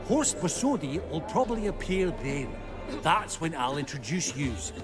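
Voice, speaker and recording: An actor playing a chummy, shrewd merchant in a video game, talking in a casual, blunt, colloquial way. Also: male voice, on the high side, sing-song, moderate pace, loud music behind the voice. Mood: conspiratorial, chummy. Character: chummy, foul-mouthed merchant, resigned and shrewd. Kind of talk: video game cutscene acting.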